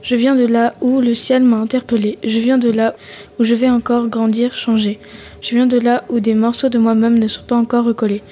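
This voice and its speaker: Teen girl reciting poem, flat tone. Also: a little high, moderate pace, husky. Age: teens. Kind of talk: reciting slam poetry.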